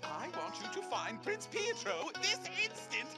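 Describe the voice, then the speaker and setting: A woman's voice: on the high side, huge pitch swings, measured pace. A woman voicing a pompous, bossy, easily exasperated chancellor in a fairy-tale video game. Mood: scolding, flustered. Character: fussy, shrill, bossy royal chancellor of fairy-tale castle. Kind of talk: English video game voice acting. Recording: game music loudly behind the voice.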